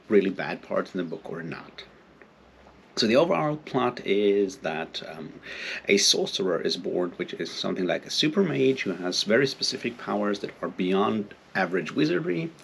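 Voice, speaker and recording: A man giving an informal, unscripted book discussion as a video host, halting as he thinks aloud. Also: deep voice, creaky, a little hiss or hum.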